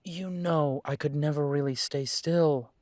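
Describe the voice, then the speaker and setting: A young man playing a restless grown son coming home, halting at first and then pressing. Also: a little high, fairly even intonation, a little mellow, no echo, clean studio sound, lightly acted. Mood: wry. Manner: hesitant, then pressing and insistent.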